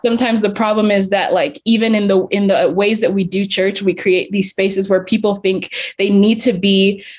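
A woman's voice: medium pitch, steady tone, moderate pace, darkish tone. A young woman with an American accent who grew up in England, an earnest podcast guest who talks reflectively and says "like" and "um" a lot. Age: young adult. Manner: earnest, heavy use of "like" and "um". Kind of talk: reflective interview talk.

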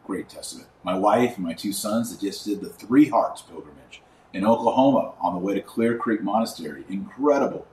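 A middle-aged man giving informal religious commentary as a podcast host, speaking emphatically with pauses and drawn-out key words. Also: fairly low pitch, a little mellow. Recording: roomy echo, distant mic, faint noise.

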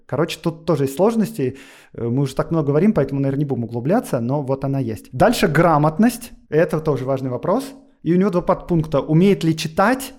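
A man's voice: fairly high pitch, mellow. Man explaining history, fillers. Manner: lecturing, many fillers and asides. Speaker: guest historian. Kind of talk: explanatory podcast talk.

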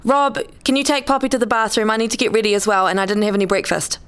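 A woman's voice: fairly high pitch, steady tone, fast, a bit bright, clean tone, close to an everyday voice. A woman playing a harried, irritated wife and mother in a radio play, pleading and nagging as she hurries the household along. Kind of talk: acted radio play dialogue.

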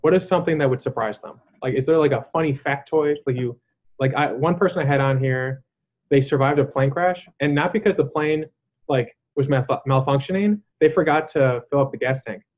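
A man's voice: medium pitch, a little fast, soft background music. A man hosting a podcast interview, rambling in casual chat with many fillers and restarts. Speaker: podcast host. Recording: tinny phone-like line.

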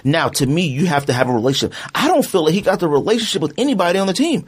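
A man's voice: on the high side, very fast, breathy edge. A man co-hosting a podcast, ranting in a loose, slangy, rambling way about a football team's season. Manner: animated, rambling, slangy rant. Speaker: podcast co-host. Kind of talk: casual sports chat.

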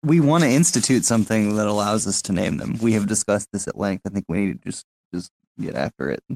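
A man's voice: lowish voice, animated, fairly quick, gravelly, darkish tone, low-quality recording. A man chatting bluntly and jokily, swearing casually. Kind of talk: casual chat.

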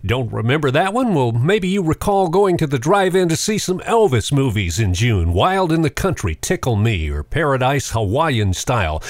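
A man hosts a radio program, reading a script in measured, announcer-like phrases. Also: mid-range voice, very animated, dry recording, studio quality.

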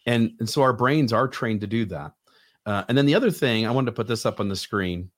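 A man's voice: fairly low pitch, animated, fairly quick. A man hosting a casual podcast chat, talking in a loose, conversational way with frequent restarts. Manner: conversational, restarts, quotes others animatedly.

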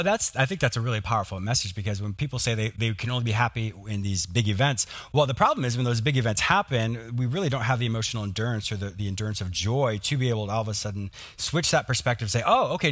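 Male interview host, fast and animated, dry close sound. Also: medium pitch, no echo. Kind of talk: scripted introduction and conversational interview.

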